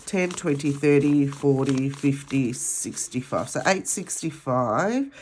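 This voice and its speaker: Stuffy-nosed Australian woman, low and slow. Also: animated, a little mellow, slight background noise, rough recording. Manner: rambling, chatty, with many ums. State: nasal from a head cold. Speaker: budgeting vlogger. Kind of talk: casual chat while counting cash.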